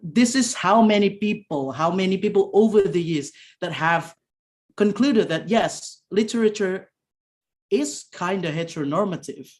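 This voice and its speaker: A young woman with a very deep voice giving an academic presentation over a video call, halting with frequent fillers and restarts. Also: expressive, darkish tone. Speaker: conference presenter.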